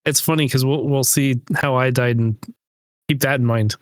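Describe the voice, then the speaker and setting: A man co-hosting a podcast in casual chat, with quick, teasing banter. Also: mid-range voice, steady tone, dry recording. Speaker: podcast co-host.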